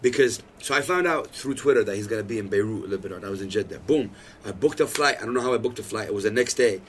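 Animated man in his thirties telling stories. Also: fairly low pitch, fast, slight background noise. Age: 30s. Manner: animated storytelling, acts out quoted lines. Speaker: podcast guest. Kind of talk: casual chat.

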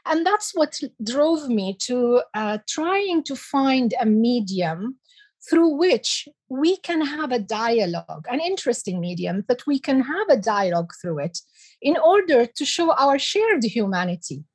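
Woman, 60s, from Iraq, breathy, slightly high voice, measured, reflective interview guest. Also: big pitch swings. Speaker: guest, heritage expert.

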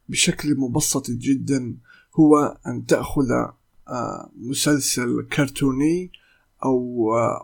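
A young man from Palestine, a voice actor, hosting a solo podcast in careful formal speech, pausing often with fillers and restarts. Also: on the high side, a little mellow, slightly rough. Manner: hesitant, many "uh" fillers and restarts. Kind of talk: solo podcast talk in Modern Standard Arabic.

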